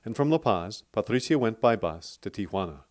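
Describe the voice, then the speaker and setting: A man narrating a scripted radio documentary in a steady, clear storytelling manner. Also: on the low side, normal speed.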